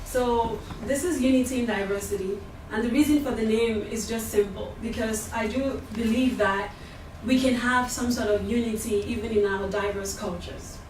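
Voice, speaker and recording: A woman's voice: on the high side, normal speed, darkish tone. A woman from Nigeria giving an informal introduction to a group as the event's host. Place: echoey room at a group event. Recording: echoey, distant mic, faint noise.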